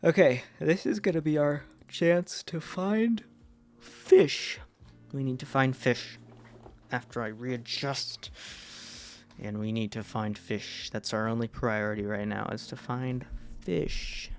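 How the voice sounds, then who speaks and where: A young man with a deep voice chatting casually with his stream chat while he plays, rambling and self-deprecating, trailing off with fillers. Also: fairly even intonation, slow pace. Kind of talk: casual gameplay commentary to chat.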